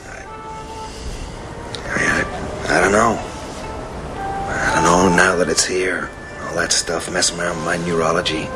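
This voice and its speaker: An actor playing a weary, guarded young hacker hustler in a radio drama, brooding and terse, with music behind him. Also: male voice, low voice, steady tone, measured pace, pauses often. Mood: guarded, uneasy. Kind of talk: radio drama acting with brooding first-person narration. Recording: loud music bed under the voice.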